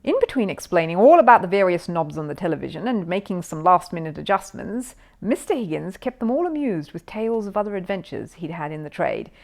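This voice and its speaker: A woman's voice: lowish voice, big pitch swings, fairly quick, gravelly, few pauses, darkish tone. A woman narrating a children's story expressively from a written text.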